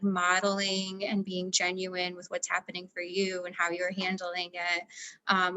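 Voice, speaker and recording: A woman's voice: mid-range voice, bright, forceful tone, rough recording. Casual, conversational woman researcher. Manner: conversational, peppers speech with "like". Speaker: researcher and panelist. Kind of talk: casual panel discussion.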